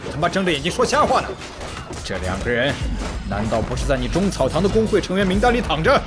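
Irate, animated guild leader. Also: male voice, a little high, fast, music in the background. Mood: angry. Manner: confrontational. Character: irate, foul-mouthed male guild leader. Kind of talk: audio drama acting.

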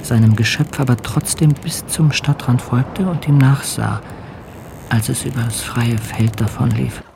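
An actor playing a sombre seafarer who narrates a tale in a radio drama. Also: male voice, medium pitch, measured pace, vocal fry, background noise.

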